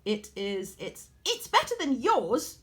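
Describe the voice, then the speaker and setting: Woman doing a shrill, smug young braggart. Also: huge pitch swings, slow, a bit bright, full character voice. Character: smug, boastful young mortal weaver woman, taunting. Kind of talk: dramatised storybook reading.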